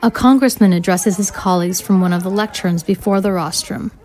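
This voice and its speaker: A woman's voice: mid-range voice, a little fast, a little mellow. A woman narrating scene directions from a screenplay in scripted style.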